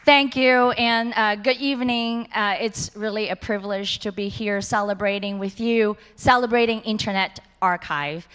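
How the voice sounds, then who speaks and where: A woman's voice: medium pitch, steady tone, moderate pace, few pauses, a bit bright, smooth. A middle-aged woman, a city supervisor giving an earnest acceptance speech on a large auditorium stage into a handheld mic. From Hong Kong and Taiwan. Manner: earnest, simple phrasing. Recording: long hall reverb.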